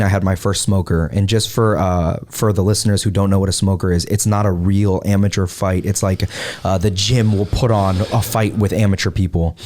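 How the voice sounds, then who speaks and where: Low, mellow-voiced American man chatting. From Hawaii, United States. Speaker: podcast host. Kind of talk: casual chat and personal storytelling.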